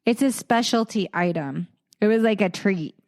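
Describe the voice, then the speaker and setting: A woman's voice: medium pitch, moderate pace, darkish tone. A woman from South Carolina co-hosting a podcast in casual, playful chat, saying "like" constantly. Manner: chatty, heavy use of "like", playful. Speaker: podcast co-host.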